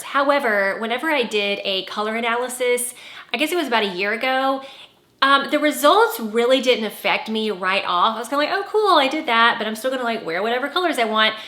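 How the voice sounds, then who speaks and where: Brief: candid style vlogger woman, 40s, bright tone, roomy room sound. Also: on the high side. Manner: chatty, candid, frequent "like" fillers. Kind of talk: casual talk to camera. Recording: roomy echo.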